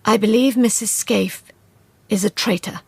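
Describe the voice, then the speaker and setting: A woman voicing a poised, quick-witted young female spy who talks in a bright, persuasive way. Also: medium pitch, steady tone, creaky, husky, no room sound, pitched above the performer's own voice.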